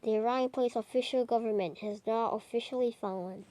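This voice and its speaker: A woman reading a homemade mock emergency broadcast in a halting, improvised way with stumbles. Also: a little high, warm tone, no echo. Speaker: narrator of a homemade emergency alert scenario.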